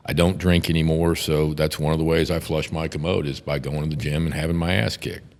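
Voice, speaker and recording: An older man with a gravelly voice and a Texan accent, talking bluntly with plenty of cursing and halting restarts on a podcast. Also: rumbling low, flat delivery, in a large hall. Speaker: podcast host, defense attorney. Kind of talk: casual podcast chat.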